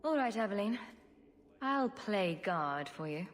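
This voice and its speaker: A woman's voice: mid-range voice, very animated, a little slow. An actress playing a wry, sardonic female adventurer hero in a video game. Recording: game audio with long reverb and music.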